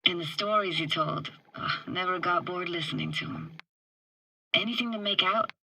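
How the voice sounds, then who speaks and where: A woman's voice: on the low side, moderate pace, pushed and bright, poor audio. A woman playing a wry, tender young streetwise techie in a video game, with casual, clipped, slangy delivery. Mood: wistful. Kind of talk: video game character dialogue.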